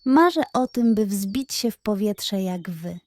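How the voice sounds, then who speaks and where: A woman's voice: mid-range voice, expressive, unhurried, no echo, close to an everyday voice. A woman voicing a small, dreamy young female seal in a children's bedtime story, earnest and wistful. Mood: hopeful.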